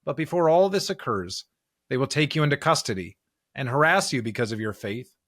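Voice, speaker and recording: A man's voice: medium pitch, lively intonation, fairly quick, a bit bright, no echo, studio quality. An American man co-hosting a church podcast, chatting casually and reading scripture. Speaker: podcast co-host and pastor.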